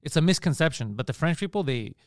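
A man with a Montreal Greek accent, chatting casually on a podcast as a comedian guest, animated and swearing freely. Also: fairly high pitch, fast talker, no room sound, clean studio sound. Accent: Montreal Greek (Park Extension). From Montreal, Canada.

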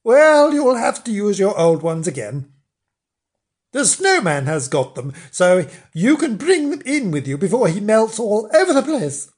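A shrill, house-proud storybook wife, put on by a storyteller, bossy and exasperated. Her scolding rides high and swoops about in exclamations. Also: big pitch swings, unhurried, darkish tone, husky. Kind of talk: children's storybook character voice.

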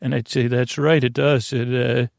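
A man hosting a bedtime podcast in a rambling, halting, gently silly monologue, trailing off mid-thought in a gravelly voice. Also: mid-range voice, flat delivery, no room sound, studio quality. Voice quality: creaky, gravelly. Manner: deliberately dull, gently silly. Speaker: podcast host.

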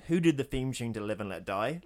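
A man's voice: mid-range voice, expressive, fairly quick, a little mellow. A man co-hosting a podcast, chatting in a wry, rambling way. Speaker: podcast co-host. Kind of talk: casual chat.

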